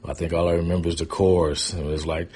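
An American man in his fifties with a very low, husky voice. He tells personal stories casually and loosely, rambling a little and pausing to find his words as he goes. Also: little pitch movement, a little mellow. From Pontiac, Michigan, United States. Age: 50s. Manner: informal, chuckling, hesitant and rambling. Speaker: guest storyteller.

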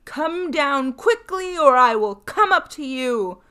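A woman voicing Bluebeard, a cruel nobleman who bawls his threats loudly, in a storybook reading. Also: mid-range voice, unhurried, close to an everyday voice. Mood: bellowing. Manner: loud and bawling.

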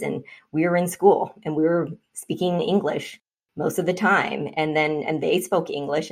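A woman from Vietnam speaking as an interview guest, reflective and measured, often pausing with fillers. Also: low-pitched, gravelly, husky. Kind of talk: conversational interview.

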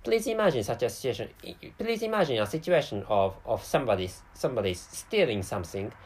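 Halting Japanese-accented man, lively pitch. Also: low-quality recording. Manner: halting, many restarts and self-corrections. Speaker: podcast host. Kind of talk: solo podcast monologue.

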